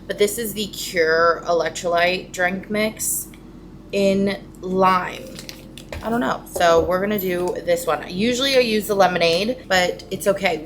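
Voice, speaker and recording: A woman vlogger with an American accent, chatting casually in her home kitchen in a sleepy, relaxed voice. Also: on the low side, noisy background. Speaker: lifestyle vlogger. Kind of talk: casual vlog chat.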